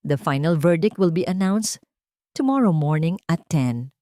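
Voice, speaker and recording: A woman's voice: on the low side, expressive, measured pace, mellow, no echo, studio quality. A woman voicing a stern, impartial judge who formally pronounces rulings in an audio story.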